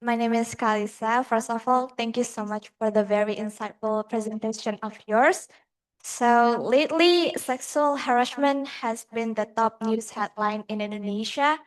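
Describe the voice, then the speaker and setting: A woman's voice: a little high, normal speed, poor audio. Polite woman asking a formal question. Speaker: audience member asking a question.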